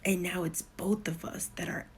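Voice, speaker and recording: Middle-aged woman, whispery testimony, low pitch, rambling. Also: little pitch movement, normal speed, a little hiss or hum. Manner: whispery, hushed delivery; rambling, repeats herself. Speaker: storyteller. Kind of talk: personal spiritual testimony.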